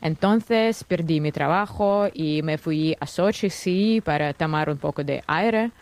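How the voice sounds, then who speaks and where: Young Russian woman, non-native speaker with an accent, low-pitched, hesitant. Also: measured pace, darkish tone. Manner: careful, slightly hesitant, many fillers. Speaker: guest journalist. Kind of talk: interview answers.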